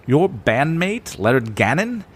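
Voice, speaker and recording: An actor playing a suave, secretive professor and spy recruiter in an audio drama, formal and theatrical. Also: male voice, a little high, very animated, measured pace, a bit bright, a little hiss or hum. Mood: grave, secretive. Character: suave, secretive criminology professor man who recruits spies.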